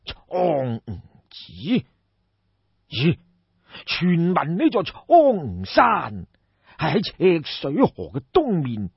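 A man's voice: a little high, vocal fry, slightly rough, exaggerated voice. A man voicing an aging, ailing, credulous king of ancient China in an audiobook, sighing and marvelling. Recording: dull, roomy audiobook recording.

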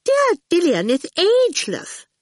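A woman voicing a prim, gushing woman in an audiobook, brightly sweet and fawning. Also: very high-pitched, huge pitch swings, a little slow, dry recording, studio quality, voice pushed up, exaggerated voice. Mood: reproving, fawning. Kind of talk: audiobook character voice.

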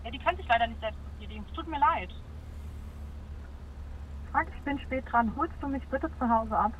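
A woman's voice: high voice, moderate pace. An actress playing a pushy, coaxing woman customer in a radio drama, insistent, heard through a telephone filter. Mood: urgent, pleading. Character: pushy, coaxing woman customer calling her cab driver. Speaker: actor. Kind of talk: naturalistic radio drama dialogue. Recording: muffled, slightly noisy telephone line.

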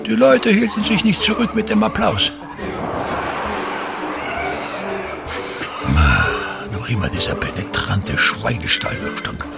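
A man's voice: sits high, huge pitch swings, moderate pace, gravelly, over loud music, exaggerated voice. An actor playing a pompous, self-important older theatre man and tyrannical father, declaiming disdainfully and repeating his phrases in a radio drama.

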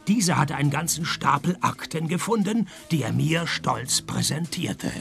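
An actor playing a world-weary, wisecracking private detective in a radio play, dry and deadpan, with a husky, gravelly voice. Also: male voice, on the high side, normal speed, faint music behind. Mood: dry, sardonic. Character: sardonic, world-weary male private detective, middle-aged, broke. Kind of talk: first-person radio play narration and dialogue.

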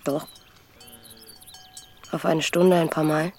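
Casting: poor young herding girl in a radio play; slightly low voice, plain, guarded, earnest. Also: fairly even intonation, slow pace, darkish tone, soft background music, exaggerated voice. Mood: uneasy confiding. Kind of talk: scripted German radio drama acting.

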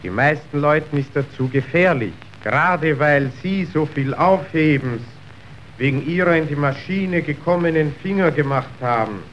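An actor playing a slick, manipulative factory official in a radio drama, speaking in a measured, officious, persuasive way. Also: male voice, a little high, a bit bright. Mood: smoothly persuasive. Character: slick, calculating male factory boss's agent, smoothly manipulative. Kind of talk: stage-like German radio drama acting. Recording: dull, noisy old radio recording.

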